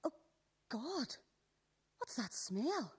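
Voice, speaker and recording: Woman playing a blunt, animated teenage schoolgirl. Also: high voice, extremely slow, husky, exaggerated voice. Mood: alarmed. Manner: blunt, sarcastic. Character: teenage schoolgirl Jenny, blunt, exasperated, secretly caring. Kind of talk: radio play acting.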